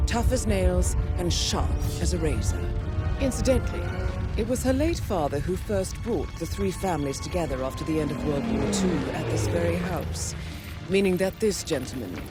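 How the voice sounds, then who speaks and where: An actress playing a composed, dry-witted intelligence handler, giving formal, articulate mission briefings in a video game. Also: female voice, on the low side, expressive, moderate pace, loud music behind the voice, close to an everyday voice. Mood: wry, composed.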